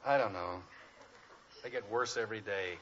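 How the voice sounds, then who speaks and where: A man's voice: lowish voice, normal speed, a bit bright, natural voice. An actor playing an exasperated middle-aged suburban father in a 1950s radio sitcom. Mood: wry, put-upon. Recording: noisy dull old radio recording, clicks.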